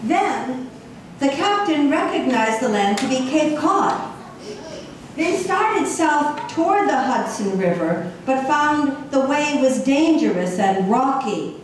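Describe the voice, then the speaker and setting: Female puppeteer-narrator: slow, deliberate scripted storytelling, recorded from a distance with faint noise. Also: high-pitched, sing-song. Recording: echoey hall, distant mic, faint noise.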